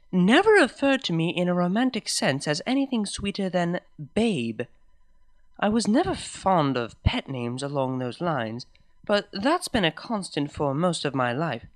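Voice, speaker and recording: Lively, expressive male story reader. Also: fairly high pitch, dry recording. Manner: expressive, lilting storyteller delivery. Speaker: fanfiction reader. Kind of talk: first-person story reading.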